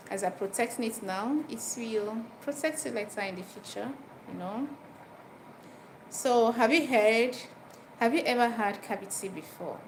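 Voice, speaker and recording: A woman with a Nigerian accent talks to her live viewers in an informal, instructive way. Her voice sits at a medium pitch, and she often repeats a phrase or turns it into a direct question. The recording has clear ambient noise behind her. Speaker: livestream host giving dental health advice. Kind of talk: informal livestream talk, chatting with viewers. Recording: noisy live stream with background ambience.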